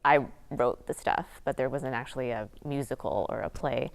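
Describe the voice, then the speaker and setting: A woman's voice: rumbling low, moderate pace, gravelly, soft background music. A middle-aged woman director from Seattle, speaking reflectively in a documentary interview with occasional laughs. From Seattle area, USA. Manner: reflective, laughs now and then while talking. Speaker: theater director.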